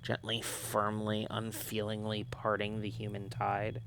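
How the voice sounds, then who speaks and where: A man with a gravelly voice livestreaming, chatting and reading a visual novel aloud. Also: fairly low pitch, monotone, measured pace, background noise. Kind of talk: livestream chat and read-aloud narration.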